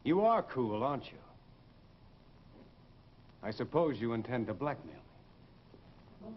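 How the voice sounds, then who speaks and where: Vintage radio horror lead: smooth, self-regarding murderer, imperious and formal, lively inflection, muffled noisy recording. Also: male voice, medium pitch, a little slow. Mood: alarmed, menacing. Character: suave, vain, menacing fugitive killer posing as traveler. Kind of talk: 1940s radio drama acting.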